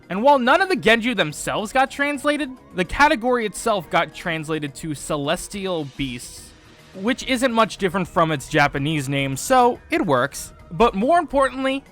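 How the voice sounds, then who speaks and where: Bright, high-pitched man presenting explainer commentary. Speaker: host of a fan video about game name localizations.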